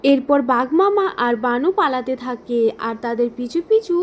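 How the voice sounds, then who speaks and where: Really high voiced lady reading out a kids cartoon story as narrator. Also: expressive, normal speed, slight background noise.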